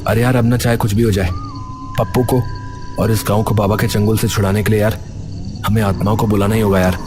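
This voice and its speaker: A man's voice: on the low side, level tone, quick pace, music in the background, close to an everyday voice. A man playing an earnest young villager who leads his friends in a cartoon story, speaking casually and urgently.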